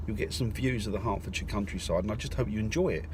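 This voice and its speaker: Man from North London, casual driving vlog, hesitant and low, clear car noise behind. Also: fast, darkish tone. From North London, England. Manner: rambling, hesitant, with many fillers and restarts. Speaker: vlogger. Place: in a car in the countryside. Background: road and car noise.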